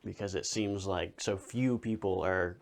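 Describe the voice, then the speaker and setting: A man hosting a conversational interview, speaking earnestly and in long, measured sentences. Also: low-pitched, fairly even intonation. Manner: earnest, measured, runs on with many clauses. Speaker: podcast host.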